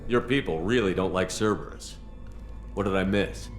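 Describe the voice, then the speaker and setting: An actor playing a decisive human commander who speaks calmly and matter-of-factly. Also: male voice, low voice, faint music behind, lightly acted. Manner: calm, brisk, matter-of-fact.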